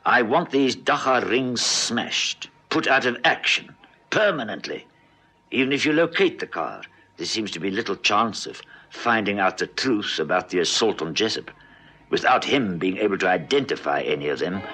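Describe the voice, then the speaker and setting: A gruff senior police officer with a deep, husky, forceful voice. He is weary and brusque yet commanding, in the style of a 1960s radio police serial. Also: animated, moderate pace, full character voice. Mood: commanding, determined. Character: gruff, weary, authoritative male police brigadier. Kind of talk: 1960s radio drama acting.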